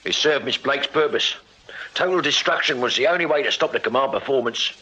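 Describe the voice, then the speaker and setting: An actor playing a dutiful Victorian constable in a radio drama, English-accented, respectful and plain-spoken. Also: male voice, mid-range voice, a little fast, sharp tone, husky. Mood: earnest. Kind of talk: British radio drama acting. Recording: dull, lo-fi radio recording.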